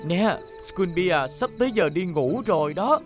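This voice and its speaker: A woman's voice: fairly low pitch, expressive, moderate pace, soft background music, natural voice. A woman voicing a reassuring young rescue-vehicle leader in a dubbed children's cartoon.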